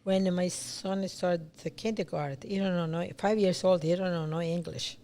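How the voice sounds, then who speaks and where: An older woman with a Sicilian accent, a guest in a casual chat, speaking halting English in a plain, earnest way. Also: lowish voice, steady tone, dark tone. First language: Sicilian dialect. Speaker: guest, business owner.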